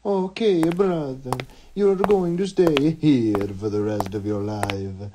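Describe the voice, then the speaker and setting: A performer voicing a gloating, deliberate cartoon villain in an amateur game dub with a noisy recording. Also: mid-range voice, lightly acted. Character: gloating cartoon villain stealing a twin's place.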